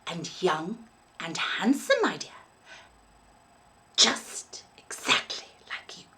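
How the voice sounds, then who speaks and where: A woman's voice: fairly low pitch, big pitch swings, takes it slowly, bright, forceful tone, in a whisper, exaggerated voice. A woman reading a storybook aloud, voicing a dainty older landlady who is sweet, fussy and eerily doting, with a gushing, genteel, cooing manner.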